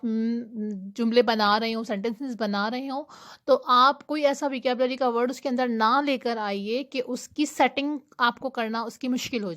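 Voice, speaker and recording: A young woman teaching IELTS speaking tips, giving her English tips slowly and deliberately between quicker explanations. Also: a little high. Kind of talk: explanatory lesson talk.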